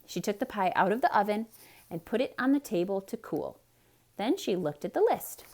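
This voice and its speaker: A woman storyteller with a mid-pitched, highly animated voice. She reads theatrically for children and speaks to them directly, explaining as she goes. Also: normal speed. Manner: expressive, addressing young listeners directly. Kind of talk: theatrical read-aloud for children with explanatory asides.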